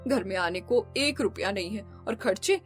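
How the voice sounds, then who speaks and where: Woman playing a fretful older mother-in-law, animated. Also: medium pitch, normal speed, soft background music, natural voice. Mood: worried. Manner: fretting, exclamatory.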